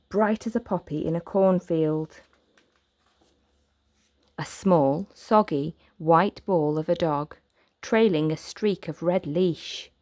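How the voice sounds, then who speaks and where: A woman reading a children's story aloud as narrator, with gentle, expressive, deliberate phrasing. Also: lowish voice, no echo.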